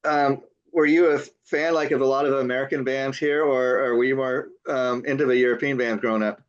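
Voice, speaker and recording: A man's voice: medium pitch, steady tone, a little fast. An older man hosting an interview, stammering and repeating words with frequent enthusiastic wows. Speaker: TV interview host. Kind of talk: casual interview talk. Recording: dull lo-fi sound.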